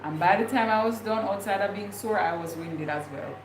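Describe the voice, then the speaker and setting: A young woman with a Jamaican accent talks casually to her viewers, wandering from thought to thought with plenty of ums. Her voice sits at an ordinary middle pitch. The room is echoey, with a steady noise under her. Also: fairly quick. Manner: rambling, thinking aloud with many fillers. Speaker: vlogger. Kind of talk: casual livestream chat.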